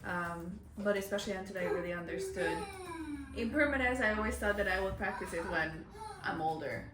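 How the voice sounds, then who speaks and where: A woman's voice: mid-range voice, fairly quick. A woman in her thirties, from Hungary and raised in Finland, answering interview questions thoughtfully with frequent ums. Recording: distant mic in echoey room with noise.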